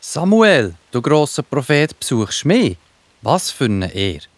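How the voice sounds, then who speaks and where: A man with a Swiss accent playing a proud, excitable father of many sons in a children's audio drama, theatrical and exclamatory. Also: medium pitch, slow pace, no room sound. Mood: awed.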